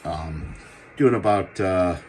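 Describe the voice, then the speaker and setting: Low voiced guy casually showing off gear for sale, noisy background with radio hiss. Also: slow, a little mellow. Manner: plain-spoken, informal. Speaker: radio seller demonstrating gear. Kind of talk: casual product demo vlog. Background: CB radio running in the background.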